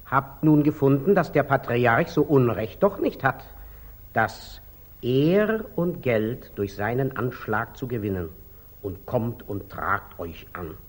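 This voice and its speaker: An actor playing a humble, artful lay brother with a deferential, plaintive manner in 1940s German radio drama style. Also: male voice, medium pitch, animated, slow, breathy edge. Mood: sly, deferential. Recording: old muffled 1940s radio recording.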